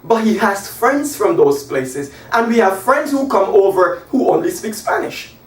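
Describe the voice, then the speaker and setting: A man in his forties from Guyana, teaching an English lesson at a whiteboard and spelling out example sentences slowly and with emphasis. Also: sits high. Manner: animated, gesturing, slow emphatic example sentences. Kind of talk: classroom lesson. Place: small room by a whiteboard. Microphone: camera across the room. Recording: echoey room, voice from a distance.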